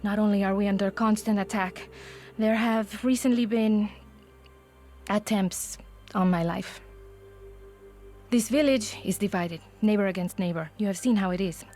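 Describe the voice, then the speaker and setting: A woman's voice: mid-range voice, steady tone, a little slow, a little mellow, natural voice. A woman voicing a weary, wary official in a video game, grave and exasperated, with a Spanish accent. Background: music.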